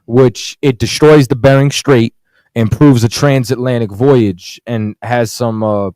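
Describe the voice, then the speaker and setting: A man's voice: medium pitch, fairly even intonation, measured pace, mellow, no echo, rough recording. A man talking casually on a podcast as a guest author, rambling with lots of "you know what I'm saying".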